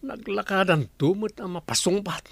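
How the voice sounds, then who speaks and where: An actor playing a young womanizer in a radio drama, cocky but protective, with a creaky voice. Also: high-pitched, moderate pace, a bit bright, no room sound, heavily acted. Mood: callous, unrepentant. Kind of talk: radio drama acting in Ilocano.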